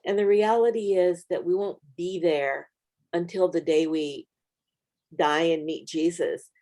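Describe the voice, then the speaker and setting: A woman with a slightly low-pitched voice hosts a small-group Bible study. She speaks conversationally, in a reflective and encouraging manner, as if advising group members. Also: steady tone, a little slow, warm tone. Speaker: Bible study video host.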